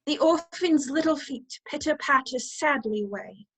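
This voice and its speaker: A woman with a high-pitched voice narrates an audio drama. She reads scripted scene descriptions as a storyteller. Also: expressive, moderate pace, a bit bright, low-quality recording. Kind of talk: storytelling narration of a radio play.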